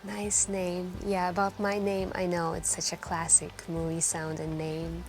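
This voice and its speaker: A woman playing a wistful, lonely stranger in an intimate, soft-spoken ASMR roleplay, hesitant and confiding as she rambles. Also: lowish voice, completely flat delivery, a little slow, lightly acted, poor audio. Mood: shy, warm. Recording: soft background music. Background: quiet background music.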